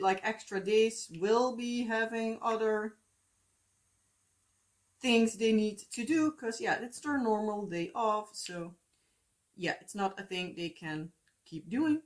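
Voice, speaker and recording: A woman in her thirties with a Dutch accent, chatting casually and rambling with lots of fillers and asides as a crafting vlogger, recorded on a phone at home. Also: on the high side. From Netherlands.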